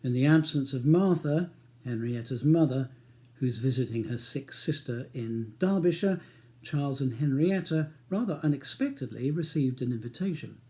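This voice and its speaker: A man's voice: medium pitch, lively intonation, moderate pace, mellow. A middle-aged man with an English accent reading his own novel aloud as audiobook narration.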